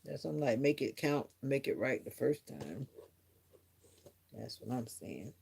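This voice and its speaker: A woman's voice: rumbling low, big pitch swings, measured pace, vocal fry, a little mellow, husky. A middle-aged woman chatting casually on a live stream, musing earnestly to her viewers in a reflective, rambling way. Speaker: livestreamer.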